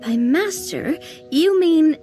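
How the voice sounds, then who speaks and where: High, animated young heroine. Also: female voice, takes it slowly, faint music behind. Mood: casual, engaged. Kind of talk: English video game voice acting.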